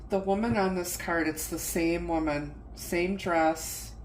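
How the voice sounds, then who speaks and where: Slow-talking stuffy-nosed woman tarot reader. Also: fairly low pitch, steady tone, echoey room, a little hiss or hum, poor audio. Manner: slow, chatty, musing aloud over cards. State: stuffy nose from the flu. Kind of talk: casual tarot reading.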